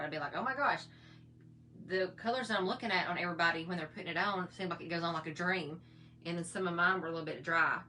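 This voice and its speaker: Chatty, slightly hoarse woman doing makeup. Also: lowish voice, pushed and bright, a little hiss or hum. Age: middle-aged. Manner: chatty, rambling, folksy. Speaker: makeup vlogger. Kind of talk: casual makeup tutorial chat.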